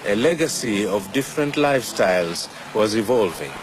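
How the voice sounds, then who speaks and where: An older man from Kenya with a Kenyan accent, a native Swahili speaker, giving measured, reflective documentary narration as presenter. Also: lowish voice, music in the background, rough recording. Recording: steady background noise.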